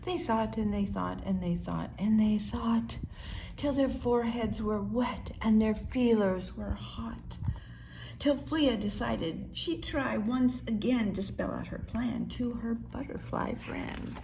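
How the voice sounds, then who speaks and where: A woman reading a rhyming children's story aloud with measured, expressive storytelling. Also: on the high side, vocal fry, a little hiss or hum, low-quality recording. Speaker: storybook reader.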